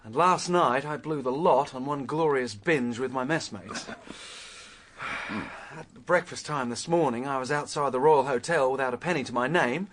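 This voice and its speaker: A man's voice: medium pitch, moderate pace, fairly bright tone, close to an everyday voice. An actor playing a penniless, determined young Australian man who ruefully recounts his adventure in a radio drama. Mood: rueful, animated. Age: young adult. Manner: vivid storytelling, stammers when flustered. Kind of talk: radio drama acting and first-person narration.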